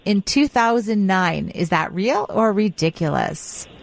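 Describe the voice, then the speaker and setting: Female radio host, average pitch, casual live studio banter and quizmaster duties. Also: expressive, measured pace, vocal fry. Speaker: radio co-host running a quiz game.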